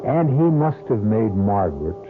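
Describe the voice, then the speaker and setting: Low-voiced man narrating over music. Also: sing-song, moderate pace. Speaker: host and narrator. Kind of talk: dramatic scripted radio mystery narration. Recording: narrow-band vintage radio recording.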